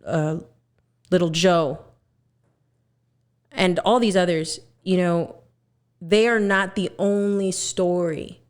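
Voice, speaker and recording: Woman, twenties, from Texas, earnest, lots of fillers, podcast guest. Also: on the low side. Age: 20s. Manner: earnest, searching for words with many fillers. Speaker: guest, singer. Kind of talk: casual podcast conversation.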